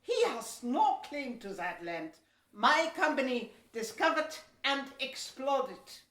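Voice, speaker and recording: A woman's voice: high-pitched, big pitch swings, takes it slowly, fairly bright tone, distant mic, heavily acted. A woman playing a pushy, indignant Dutch merchant man in an amateur historical audio drama. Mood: insistent, indignant. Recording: echoey room.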